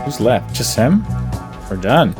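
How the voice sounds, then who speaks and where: A man's voice: medium pitch, very animated, slow, over music. A man giving casual game commentary on his own playthrough, thinking aloud and easily amused.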